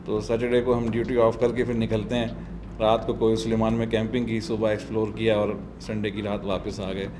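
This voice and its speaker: A man's voice: lowish voice, little pitch movement, a little fast, noisy background. A Pakistani man answering interview questions conversationally, earnest and explanatory, dropping English words into his speech. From South Punjab, Pakistan. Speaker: interview guest, doctor and biker tour organiser.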